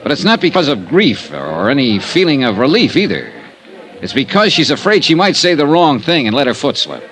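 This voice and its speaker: An actor playing a dogged insurance investigator in an old-time radio drama, American, assertive and wry. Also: male voice, mid-range voice, wildly swooping pitch, a little fast, fairly bright tone, poor audio. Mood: insistent. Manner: assertive, wry, hard-boiled. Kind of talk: old-time radio detective acting and first-person narration.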